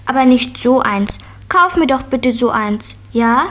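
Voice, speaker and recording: A woman's voice: fairly high pitch, lively intonation, measured pace, a bit bright. A woman playing a curious, stubborn preschool girl in a children's radio play, with a childlike, insistent delivery. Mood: stubborn, pleading.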